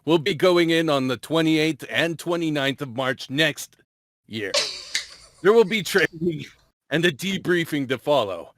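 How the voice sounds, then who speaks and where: A man's voice: on the high side, lively intonation, measured pace, fairly bright tone, no room sound. A man reading dramatic script lines aloud at an amateur table read.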